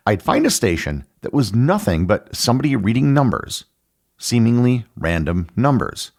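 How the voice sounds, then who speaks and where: A middle-aged American man hosting a podcast, reading scripted educational narration in a measured, explanatory way. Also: lowish voice, vocal fry. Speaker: podcast host.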